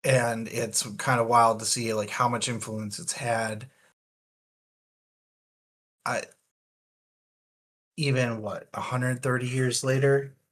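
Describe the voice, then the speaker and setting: A man with a mid-pitched voice chats casually as a book show co-host. He works through his opinions aloud, qualifying them as he goes in long, winding points. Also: flat delivery, slow pace, long pauses, a bit bright. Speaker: podcast co-host.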